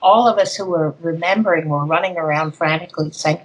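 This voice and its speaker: An older woman, a guest speaker, talking through an interview in an unhurried, rambling way with plenty of fillers. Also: deep voice, low-quality recording. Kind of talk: conversational interview.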